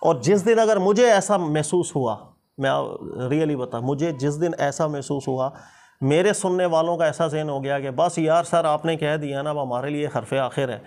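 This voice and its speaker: A man's voice: on the high side, normal speed, a little mellow. A man with a Pakistani accent, a religious teacher explaining points emphatically in informal discussion, often repeating himself for stress. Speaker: Islamic scholar and teacher.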